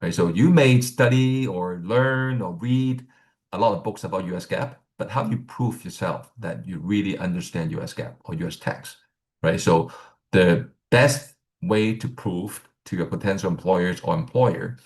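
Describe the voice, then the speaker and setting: A middle-aged man from Hong Kong explains career options like a relaxed instructor, with a very low, gravelly voice. He rambles and keeps checking in with "right?" as he goes. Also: normal speed. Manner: rambling, many fillers and "right?" tags. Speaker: accounting exam instructor. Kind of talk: casual teaching talk.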